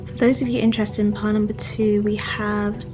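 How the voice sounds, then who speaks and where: A young woman with a soft, soothing voice, chatting casually as a tarot card reader in a small room at home, filmed by a camera across a desk. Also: medium pitch, flat delivery, music in the background. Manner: chatty and rambling with fillers, later slow and hushed. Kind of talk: casual pick-a-card reading, then guided meditation.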